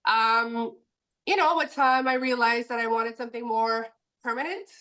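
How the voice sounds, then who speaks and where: A French Canadian woman, a native French speaker, chatting in a lively way as a podcast guest. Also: fairly high pitch, moderate pace. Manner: lively, chatty, laughs easily. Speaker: podcast guest, permanent makeup educator. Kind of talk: podcast interview.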